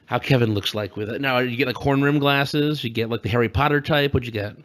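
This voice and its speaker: A man's voice: mid-range voice, fairly quick, a bit bright. A man co-hosting a podcast with casual, joking political commentary full of teasing asides. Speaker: podcast co-host.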